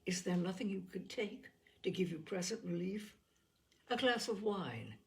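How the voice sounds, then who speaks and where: Woman narrator as concerned gentleman, creaky voice, gentle, formal, restrained, audiobook storytelling. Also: fairly low pitch, expressive, normal speed. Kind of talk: dramatised audiobook reading.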